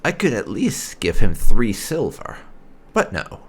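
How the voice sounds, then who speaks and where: Expressive low-voiced man, gravelly and sardonic. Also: unhurried, slight background noise. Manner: sardonic, reading lines in character. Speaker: gaming video creator playing a roleplay character. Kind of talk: in-character roleplay mixed with casual game commentary.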